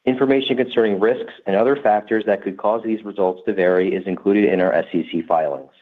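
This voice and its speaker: A man's voice: fairly low pitch, quick pace, narrow, tinny audio. A man working in investor relations, reading a scripted corporate disclaimer in a formal manner.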